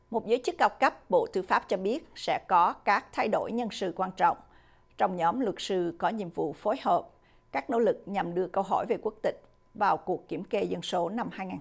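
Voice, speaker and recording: A middle-aged woman anchors a studio newscast, reading the script in a steady, formal manner. Her voice sits at a middle pitch with a gravelly, fry-tinged edge, picked up close on a lapel mic. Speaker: news anchor. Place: studio with a virtual backdrop.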